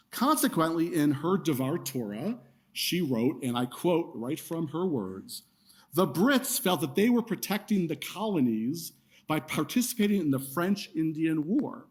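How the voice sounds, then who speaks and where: Husky-voiced male rabbi giving a sermon. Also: fairly high pitch. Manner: warm storytelling with wry asides to the congregation. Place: synagogue sanctuary.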